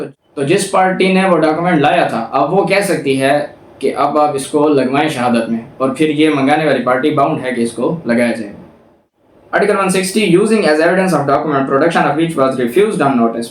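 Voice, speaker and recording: A Pakistani man teaches in an explanatory, didactic style, restating each point as he goes. His voice sits a little higher than average. The sound is quite echoey and somewhat distant from the mic, as if recorded in a bare room. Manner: didactic, repeats and rephrases points. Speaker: law lecturer. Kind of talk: explanatory lecture, reading out legal text then explaining it.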